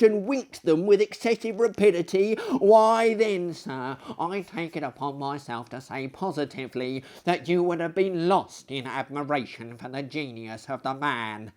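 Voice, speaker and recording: Bloke doing a skinny little man in a big wig, high voice, excitable and theatrical storybook type. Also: lightly acted.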